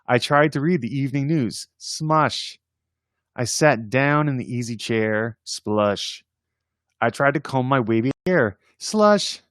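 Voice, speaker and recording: A man hosting a casual read-aloud of children's poems, playful and expressive in verse, with informal chatty asides. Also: mid-range voice, no echo, studio quality. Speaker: host reading poems aloud on a livestream.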